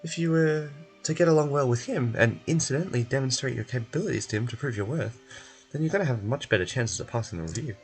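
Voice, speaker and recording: A man's voice: mid-range voice, lively intonation, fast talker, lightly acted. A man voicing a fussy, wordy, coaxing male bureaucrat in a video game. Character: fussy male bureaucratic clerk, pleased and pushy, nursing hurt leg. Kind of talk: video game character voice-over. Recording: music behind.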